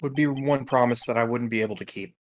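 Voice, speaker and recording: Game narration: reflective young male rookie lawyer, acted by a male player, medium pitch, light score underneath. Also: quick pace, lightly acted. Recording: game music behind.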